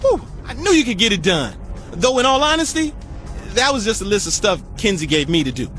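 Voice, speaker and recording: A man acting a vain, peevish celebrity gangster in a video game, animated, boastful and self-pitying. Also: sits high, a little fast, faint music behind. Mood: upbeat.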